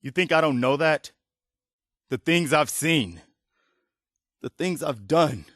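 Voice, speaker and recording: A man's voice: fairly high pitch, expressive, takes it slowly, fairly bright tone, no echo, clean studio sound. A man playing an earnest, weary militia leader in a video game, speaking in a sincere, plain-spoken, conversational way. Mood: frustrated, urgent. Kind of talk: video game dialogue acting.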